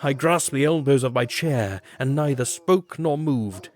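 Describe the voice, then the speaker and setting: A man's voice: medium pitch, expressive, a little slow. A man giving a grave, theatrical reading of a literary story as an audiobook narrator. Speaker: audiobook reader. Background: background music.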